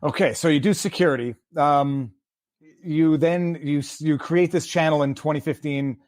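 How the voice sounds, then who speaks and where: A man from Quebec hosts a livestream interview, talking in a chatty, rambling way and stacking up questions. His voice sits fairly high for a man. The sound is dry and close, with no room in it. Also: moderate pace, a little mellow, no echo. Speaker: host.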